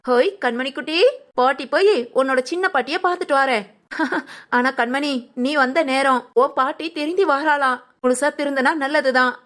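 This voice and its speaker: A woman's voice: high voice, normal speed, a bit bright. A woman playing a caring but skeptical grandmother in a family audio drama, homely and chatty.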